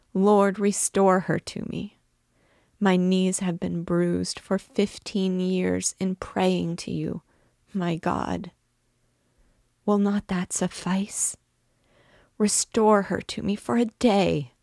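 Woman putting on a grieving mom recluse, wailing lament, slow and gravelly, voice pushed up high when she begs. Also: dry recording, clean studio sound, exaggerated voice. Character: grieving mother, a recluse lamenting her lost child.

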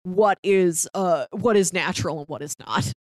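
A woman's voice: on the low side, normal speed, dry recording, studio quality. A woman chatting casually about a film as a podcast co-host.

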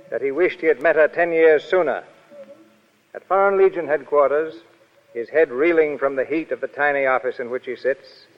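A man narrating a scripted 1930s radio drama as its announcer. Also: medium pitch. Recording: muffled 1930s radio broadcast, music behind.

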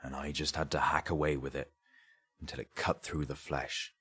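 A man's voice: very deep voice, level tone, normal speed, bright, forceful tone, breathy edge, exaggerated voice. A man voicing a haunted, greedy grave robber in an audiobook story, with a confiding, colloquial way of telling things. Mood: haunted, grisly confession.

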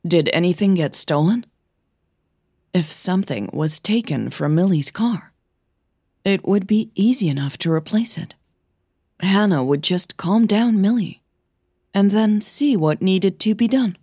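A woman's voice: mid-range voice, animated, unhurried, mellow, slightly rough, dry recording, clean studio sound. A woman narrating a fiction audio drama in a scripted storytelling style.

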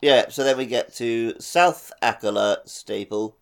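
A man's voice: mid-range voice, a little slow, creaky. A man co-hosting a casual gaming podcast, talking wryly and conversationally. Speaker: podcast co-host.

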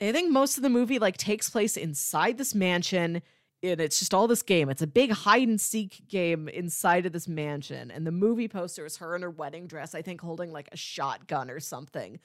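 A woman co-hosting a podcast in a casual, informal chat, describing things in a conversational and animated way. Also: lowish voice, normal speed, dry recording, clean studio sound. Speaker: podcast co-host. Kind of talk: casual chat, pitching movies.

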